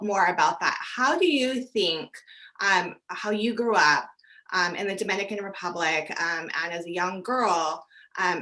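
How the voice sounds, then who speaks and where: A woman's voice: medium pitch, sharp tone, poor audio. A woman hosting a podcast, telling stories in a chatty way with plenty of "you know" and "like". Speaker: podcast host. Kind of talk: podcast interview.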